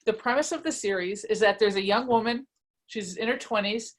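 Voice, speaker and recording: A woman's voice: mid-range voice, brisk. A woman author talking casually about their books and reading aloud, in a chatty, self-deprecating, conversational way. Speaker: author presenting and reading from their own book. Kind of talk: casual book talk and read-aloud.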